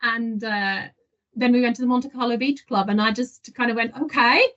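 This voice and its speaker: Woman, podcast guest, animated storytelling, bright lilting voice, dull lo-fi audio. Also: a little high, moderate pace. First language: not French. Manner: animated storyteller, laughs often. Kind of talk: casual interview.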